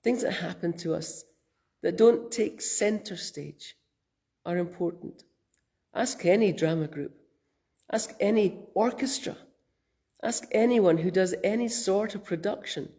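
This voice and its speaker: A Scottish woman minister speaks in a gentle, conversational way, her mellow voice roughened and croaky from a summer cold. She takes her time, musing aloud like a quiet sermon. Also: mid-range voice, measured pace, pauses often. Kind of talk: reflective sermon.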